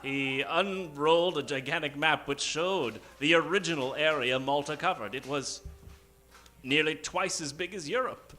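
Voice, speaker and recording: A man's voice: fairly high pitch, moderate pace, pushed and bright. A man narrating an improvised old-time radio detective comedy in a melodramatic way.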